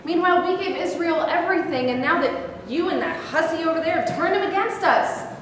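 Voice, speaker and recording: A woman's voice: very high-pitched, a little fast. A woman reading the part of a wounded adoptive mother who speaks reproachfully and accusingly in a staged reading. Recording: echoey hall from far off, background noise.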